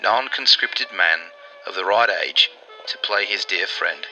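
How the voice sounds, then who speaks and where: Man playing an earnest, very sharp-voiced wartime operative. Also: deep voice, unhurried, faint music behind, exaggerated voice, low-quality recording. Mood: businesslike, urgent. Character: earnest, persuasive male Allied wartime intelligence operative. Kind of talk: 1940s radio drama acting.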